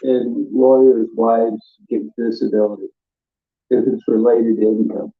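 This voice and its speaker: Man in a heated argument, repetitive and insistent, slowed-down muffled playback with plenty of room echo. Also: medium pitch, flat delivery, gravelly. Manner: insistent, repeats himself. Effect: altered playback speed, slowed down. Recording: roomy, muffled played-back audio.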